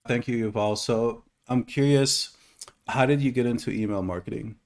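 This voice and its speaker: A man from the United States hosting an interview, introducing himself and his guest in a brisk, polite, businesslike way. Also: mid-range voice. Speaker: host, interviewer.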